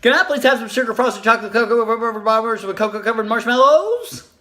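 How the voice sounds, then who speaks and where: A woman's voice: on the high side, expressive, sharp tone, voice pushed up. A woman doing a whiny begging little girl. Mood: pleading. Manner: pleading, drawn-out begging.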